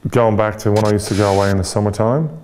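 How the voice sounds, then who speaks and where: Reflective Irish man, low mellow voice. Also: fairly even intonation, slight background noise. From Ireland. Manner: reflective, halting with many fillers and restarts. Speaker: interview guest. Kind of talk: candid interview talk.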